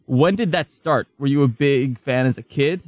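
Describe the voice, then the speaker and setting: A man's voice: mid-range voice, normal speed, darkish tone, dry recording. A man hosting a podcast, interviewing a guest in a casual, conversational style. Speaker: podcast host.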